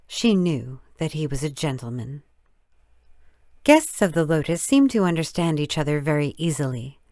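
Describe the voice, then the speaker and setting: A woman reading a story as scripted narration, in measured, deliberate, careful phrases. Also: low-pitched, expressive, darkish tone, no room sound, studio quality. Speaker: audiobook narrator.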